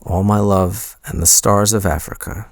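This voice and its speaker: Male actor as shy smitten young man, hesitant, stammering, unhurried, gravelly creak, intimate boyfriend roleplay. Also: on the low side, fairly even intonation, no room sound, clean studio sound. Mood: tender, earnest.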